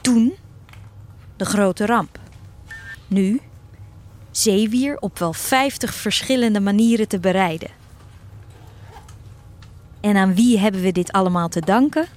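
A woman playing an earnest schoolgirl, proud of her fish farmer father, narrating a radio play in a measured storytelling way. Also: mid-range voice, darkish tone, natural voice. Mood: earnest, recounting.

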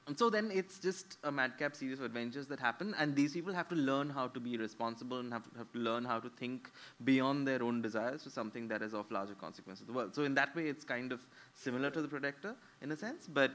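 A man's voice: mid-range voice, fast talker, few pauses, fairly bright tone. A man, a novelist on a live panel, explaining fluently with many fillers. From India.

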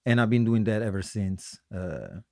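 A middle-aged man from Italy with an Italian accent, telling his story casually as an interview guest, halting and self-correcting with a joking streak. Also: on the low side, very animated, creaky, warm tone, no echo, studio quality. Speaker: guest musician, singer-songwriter.

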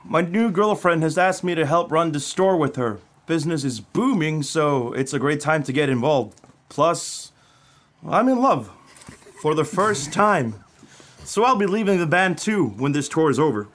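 A man's voice: on the high side, expressive, normal speed, fairly bright tone. A man from Norway playing a sensitive young rock band member in an amateur radio play, earnest and confessional. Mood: apologetic, earnest.

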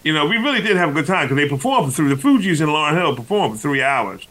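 Lively high-voiced radio host. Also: male voice, quick pace. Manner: chatty, jumps into personal stories. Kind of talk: casual radio interview.

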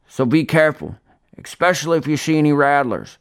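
A man voicing a fat old rural homeowner, gruff and blunt, with a folksy way of talking. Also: fairly high pitch, very animated, brisk, dry recording, studio quality, voice pushed up. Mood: cautioning.

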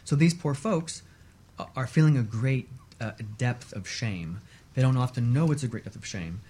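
A man speaking as an expert guest on a radio talk show, explaining in a measured, emphatic way and repeating points for stress. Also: mid-range voice, expressive, warm tone, husky.

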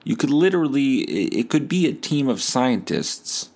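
A man's voice: medium pitch, big pitch swings, normal speed, creaky, darkish tone. A man hosting a podcast, delivering a rambling comedic monologue in a sardonic, foul-mouthed, mock-boastful way.

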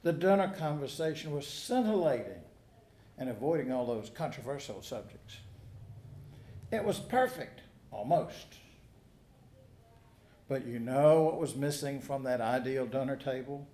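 A man preaching a sermon, reading a gospel passage and then telling stories in a measured, earnest way. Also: fairly high pitch, warm tone. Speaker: pastor. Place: church sanctuary. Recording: echoey church.

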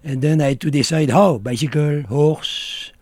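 A middle-aged Frenchman who speaks English with a French accent, answering questions in a relaxed, casual interview. His voice is mellow and dark, and he talks bluntly in short, broken phrases. The sound is dry and close to the mic. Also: fairly high pitch, moderate pace, no echo. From France. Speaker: guest.

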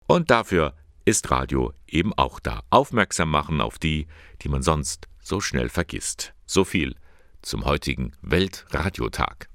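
A man presenting a radio magazine segment, reading his script in a calm, measured way. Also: extremely low pitch, creaky, no room sound. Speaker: radio host.

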